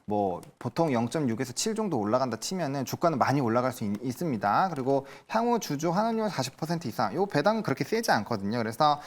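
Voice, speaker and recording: A man's voice: medium pitch, very animated, fast, hardly any pauses. A man working as a stock analyst, explaining the market in a conversational way during live commentary.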